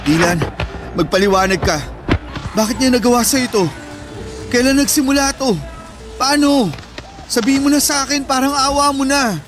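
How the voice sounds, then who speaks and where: Man acting a high-voiced anguished protective brother. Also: moderate pace, pauses often. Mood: urgent. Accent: Filipino. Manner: emotional, pleading, insistent. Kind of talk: radio drama acting. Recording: music bed under dialogue. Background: background music.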